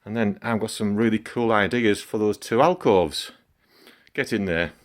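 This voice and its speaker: A middle-aged man with a croaky voice from a cold, chatting casually in a home vlog as he works and comments on it. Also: on the low side, moderate pace. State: hoarse from a cold. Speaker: gaming channel host.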